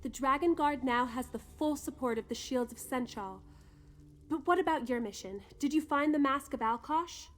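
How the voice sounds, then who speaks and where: An actress as an earnest, high-voiced young fighter. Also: female voice, moderate pace, lightly acted. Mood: triumphant. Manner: earnest, conversational. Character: earnest, eager young female fighter, the general's daughter. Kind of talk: scripted video game character dialogue.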